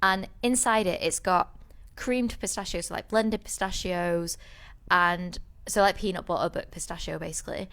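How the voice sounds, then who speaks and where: A woman's voice: fairly low pitch, fairly even intonation, normal speed, a bit bright. A woman co-hosting a podcast, telling a rambling story in casual chat, with many restarts. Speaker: podcast co-host.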